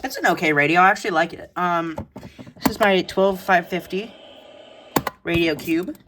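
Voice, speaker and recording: A woman's voice: on the low side, normal speed, background noise. A woman giving a casual, blunt, informal show-and-tell tour of a collection as a vlogger. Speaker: vlogger showing a radio collection.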